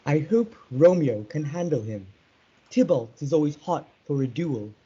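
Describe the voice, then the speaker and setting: A woman's voice: deep voice, lively intonation, unhurried, mellow, husky, heavily acted. A young woman reading a cocky, teasing young man in amateur reader's theatre. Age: teenager. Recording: dull lo-fi audio.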